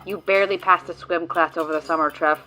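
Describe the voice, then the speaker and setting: A woman's voice: lowish voice, steady tone, fast, sharp tone, soft background music. A woman voicing a sarcastic, exasperated female guide who swears often, in a fan audio drama.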